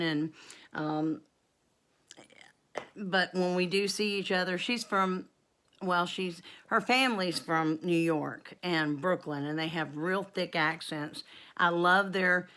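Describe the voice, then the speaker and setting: Lady in her 50s, lowish voice, rambly chatty vlog talk with lots of ums. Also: fairly even intonation, measured pace, fairly bright tone, clear, resonant tone. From North Carolina, USA. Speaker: homestead vlogger. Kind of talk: casual Q and A chat to camera.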